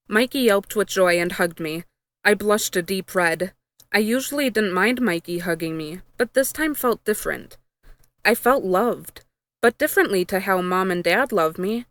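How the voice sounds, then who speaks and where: A woman narrates a first-person story in a scripted read-aloud style. Her voice is clean and smooth at a middling pitch, with a very dry recording that has no room sound. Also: fairly bright tone, no echo. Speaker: fan fiction reader.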